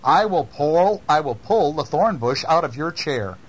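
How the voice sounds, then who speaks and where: A woman's voice: low voice, expressive, a little slow, slightly rough, voice pushed up, exaggerated voice, poor audio. A woman voicing a kindly, helpful policeman from a children's story, in an earnest, soothing way. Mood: concerned, helpful. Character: kindly, helpful policeman, concerned for an old lady.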